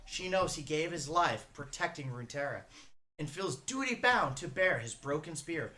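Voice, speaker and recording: A reaction video host giving casual, flippant, animated commentary in an informal way. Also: mid-range voice, normal speed, rough recording. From the frozen north.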